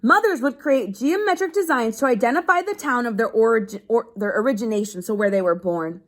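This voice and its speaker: A woman reading a children's book aloud like a teacher, pausing to explain things simply as if to young pupils. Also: fairly high pitch, expressive. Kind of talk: read-aloud lesson with simple explanatory asides.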